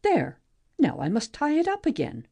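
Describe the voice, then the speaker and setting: A woman acts out a restless, wondering young heroine of a mythic play. The voice is soft-edged and slightly husky, with very lively melody. She muses aloud, full of curiosity. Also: sits high, moderate pace, full character voice. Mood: nervous. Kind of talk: amateur audiobook play reading, expressive.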